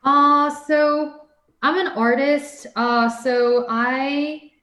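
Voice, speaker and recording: A woman's voice: sits high, fairly even intonation, extremely slow, pushed and bright. Young woman, artist guest, rambling chat full of fillers, echoey room. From United States. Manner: rambling, lots of "like" and "um" fillers, laughs often. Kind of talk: casual interview chat.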